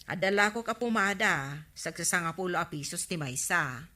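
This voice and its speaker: A woman's voice: fairly low pitch, expressive, normal speed, bright, forceful tone. A woman playing an exasperated, short-tempered village councilor who keeps a shop, scolding and complaining in a comedy radio drama. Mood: brisk.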